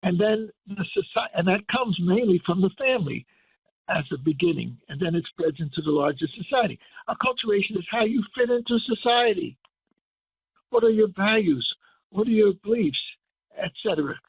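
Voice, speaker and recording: An older man giving an impassioned radio lecture as a guest, speaking emphatically and building to forceful declarations. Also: sits high, expressive, normal speed, gravelly, breathy edge, dry recording. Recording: tinny phone line.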